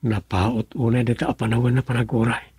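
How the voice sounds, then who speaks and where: An actor with an Ilocano accent playing a lovesick, anxious young man in a radio drama, his voice husky. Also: medium pitch, little pitch movement, a little fast, a little mellow, dry recording, studio quality. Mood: wistful.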